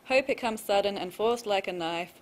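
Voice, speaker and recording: Woman reading her poems over clear background noise. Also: mid-range voice, normal speed. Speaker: poet reading own work. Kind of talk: poetry reading with casual introductions.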